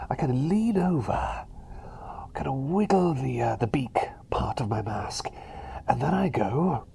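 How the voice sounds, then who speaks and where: A man plays an eccentric male plague doctor in an ASMR roleplay, murmuring and whispering with a crackly fry. His pitch swings wildly as he rambles, doubles back on phrases and hopes for approval. A faint noisy ambience sits behind the voice. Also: full character voice. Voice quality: breathy whisper mixed with soft voice. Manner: hesitant, rambling, repeats phrases, eager for approval.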